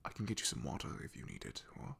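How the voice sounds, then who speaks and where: A man playing an awkward, loyal knight in a soft ASMR fantasy roleplay, half-whispering in a breathy, gravelly voice with a hesitant, apologetic, deferential manner. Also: lightly acted. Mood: nervous, eager-to-please. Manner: hesitant, apologetic, halting with throat clearing.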